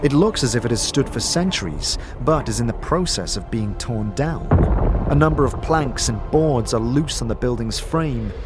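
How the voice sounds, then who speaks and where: A man's voice: mid-range voice, expressive, normal speed, over loud music, natural voice. A man narrating a fantasy adventure as a storyteller, in scripted dramatic narration. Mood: suspenseful.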